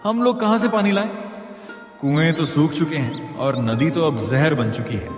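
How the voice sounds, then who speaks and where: A man's voice: fairly high pitch, normal speed, natural voice. A man voicing a worried village man in a cartoon, urgent and earnest. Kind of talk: Hindi moral story cartoon dub. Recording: echoey reverb over faint music.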